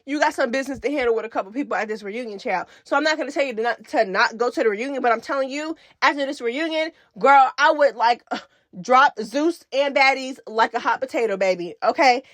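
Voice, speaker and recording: Casting: female recap vlogger, high-pitched, sassy and opinionated, slangy delivery, very dry recording. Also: brisk, a bit bright, no echo. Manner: sassy, slangy, emphatic repetition. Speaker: YouTube reality TV recap host. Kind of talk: casual opinionated commentary.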